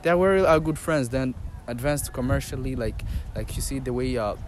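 A man's voice: medium pitch, brisk. A young man from Rwanda being interviewed on the street, hesitant and full of fillers, then playful. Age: young adult. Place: outdoors on a park lawn. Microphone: interviewer's phone. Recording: faint outdoor street noise.

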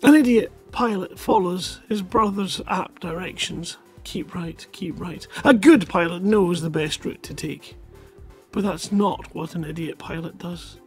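High-pitched man hosting a live stream. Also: husky. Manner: dramatic, drawn-out delivery when reading, sweary. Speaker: host of a live community show reading listeners' short stories. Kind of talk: live stream reading and banter. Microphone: headset. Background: music in the background.

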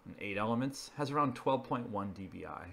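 A man's voice: mid-range voice, animated, fairly quick, gravelly, fairly bright tone, slight background noise. A man presenting an informal narrated slideshow, explaining each item in a matter-of-fact way. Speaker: video presenter.

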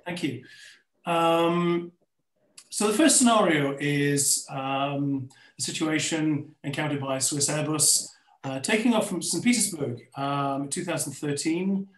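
Male webinar moderator, middle-aged, slightly high voice, echoey room. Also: unhurried. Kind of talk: hosting an online panel discussion. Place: indoors on a video call. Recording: roomy, echoing webcam audio.

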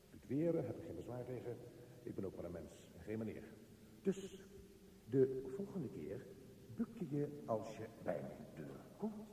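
A man plays a self-important, grumpy office manager in a radio drama. He lectures pompously, his pitch swinging widely, heard from a distance in a reverberant room. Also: darkish tone, close to an everyday voice. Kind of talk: radio play monologue. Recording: roomy, reverberant, distant from the mic.

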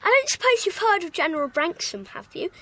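A woman's voice: extremely high pitch, big pitch swings, brisk, pushed and bright, dry recording, full character voice, low-quality recording. A woman with a British accent playing a polite, chatty child club member in a children's audio drama.

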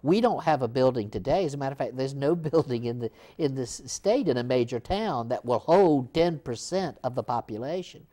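A man's voice: mid-range voice, a little fast, hardly any pauses. An older man in his sixties, a theater executive director, telling the hall's history in a TV studio interview with lots of fillers and restarts. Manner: animated storyteller, frequent restarts and fillers. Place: small TV studio set, seated in armchair.

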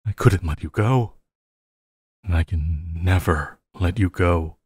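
Man acting a deep, slow, lovesick villain. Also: breathy edge, no echo, clean studio sound, exaggerated voice. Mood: pleading, remorseful. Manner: halting, pleading, soft-spoken endearments. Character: lovesick, possessive yandere supervillain pleading with his beloved hero. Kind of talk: intimate audio roleplay acting.

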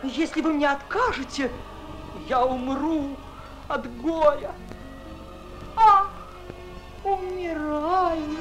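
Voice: sits high, fairly even intonation, very slow, pauses often, rough recording. A performer playing a young, lovestruck, melodramatic elf prince in a classic cartoon, sighing and swooning theatrically. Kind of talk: classic Soviet cartoon voice acting. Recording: old cartoon soundtrack with music.

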